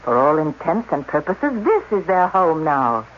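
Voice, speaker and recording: A man's voice: on the high side, sing-song, measured pace, fairly bright tone. A man playing a cunning, impassioned scientist in a radio drama, speaking with intense, persuasive insistence. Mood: persuasive, urgent. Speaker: actor. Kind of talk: 1980s radio drama acting. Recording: dull, noisy old radio recording.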